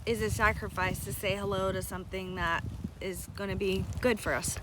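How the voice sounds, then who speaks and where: A woman, the host's wife, chatting casually and mostly giving brief quiet agreeing replies. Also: medium pitch, steady tone, moderate pace, noisy background. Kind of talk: casual chat.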